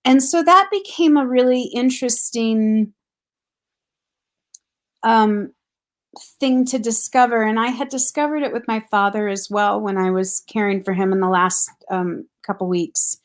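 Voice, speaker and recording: A woman's voice: a little high, unhurried. Earnest woman reflecting on caregiving. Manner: thoughtful, earnest, laughs softly. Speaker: grief counselor guest. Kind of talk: reflective casual conversation.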